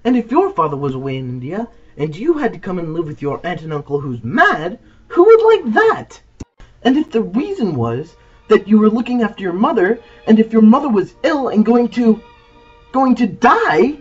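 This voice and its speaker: A woman doing a breathy, sulky young boy. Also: sing-song, moderate pace, a little mellow, lo-fi sound, faint music behind. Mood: miserable, upset. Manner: sulky and wound up, voice drops low when spooked. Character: grubby, miserable young boy.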